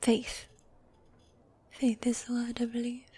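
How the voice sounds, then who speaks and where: A woman voicing a teasing, tender demon girlfriend in a medieval fantasy ASMR roleplay, speaking in soft breathy whispers with formal, old-fashioned phrasing. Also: a little high, completely flat delivery, takes it slowly, full character voice. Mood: affectionate teasing. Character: gothic demon girlfriend, teasing, tender, dominant yet needy.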